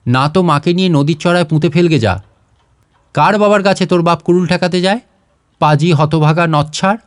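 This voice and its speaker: A man's voice: on the high side, fairly quick, dry recording, clean studio sound, lightly acted. A man voicing an irritable, contemptuous landlord's steward in a storybook reading, scolding and cursing haughtily.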